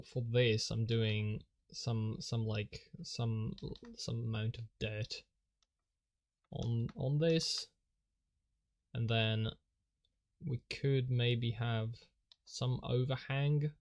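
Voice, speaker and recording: A man whose first language is Polish, rambling and self-mocking as he thinks aloud while drawing on a live stream, chuckling often. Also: medium pitch.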